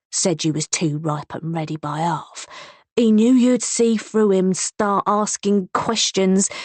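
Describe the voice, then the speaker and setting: A woman doing a mumbling, surly rough husband. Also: mid-range voice, darkish tone, no echo. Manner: mumbling, gruff, savage. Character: surly injured rough male drunkard.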